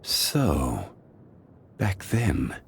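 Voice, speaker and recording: A man voicing a calm, wise ancient gentleman from a video game, speaking in a measured, formal, solemn way. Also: very deep voice, creaky, a little hiss or hum, full character voice. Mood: reflective. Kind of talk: scripted video game character voice lines.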